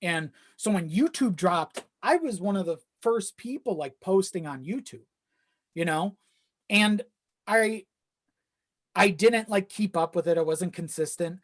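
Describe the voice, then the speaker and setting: A man's voice: high voice, lively intonation, measured pace. A man chatting casually as a podcast guest, rambling along and acting out his own asides.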